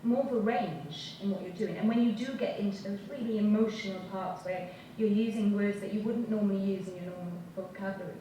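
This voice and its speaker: A woman's voice: mid-range voice, fairly quick, few pauses, dark tone. A woman with a strong Welsh accent, an actor speaking thoughtfully on a panel. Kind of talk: panel discussion. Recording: echoey hall from far off, noisy.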